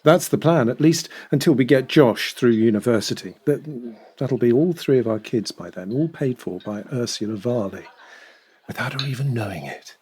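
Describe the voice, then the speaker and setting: An actor playing a middle-aged schemer and adulterer in an audio drama, self-assured and measured. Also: male voice, mid-range voice, a little mellow, slightly rough, natural voice. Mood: casual, calculating. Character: smooth-talking calculating middle-aged adulterer and sponger.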